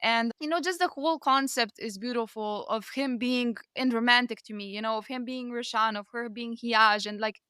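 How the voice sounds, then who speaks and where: A woman's voice: on the high side, moderate pace, few pauses, sharp tone, smooth, no echo, clean studio sound. A woman co-hosting a casual book discussion, gushing and enthusiastic, with lots of conversational filler phrases. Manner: gushing, enthusiastic, peppered with 'like' and 'you know'. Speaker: podcast co-host.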